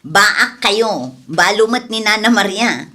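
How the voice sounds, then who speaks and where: A woman's voice: medium pitch, expressive, slow, sharp tone, exaggerated voice. A woman playing a nosy, gossipy matchmaker who teases her elders in a radio comedy drama. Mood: teasing, prying. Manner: gossipy, teasing.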